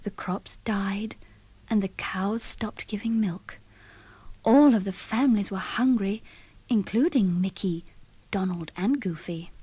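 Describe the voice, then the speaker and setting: A woman narrating a children's read-along story in a breathy, whispery voice. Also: medium pitch, warm tone, no echo, poor audio.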